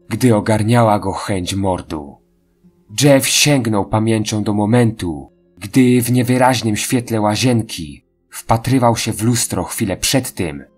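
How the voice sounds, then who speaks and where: A man's voice: fairly low pitch, slightly rough. A man reading scripted horror narration in a dramatic storytelling style. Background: faint music.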